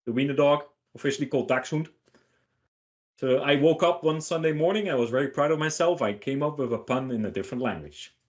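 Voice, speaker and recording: A man's voice: mid-range voice, fairly quick, muffled recording. A man giving a casual online tech talk and answering questions in an informal, joking way with short emphatic phrases. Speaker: featured speaker answering questions.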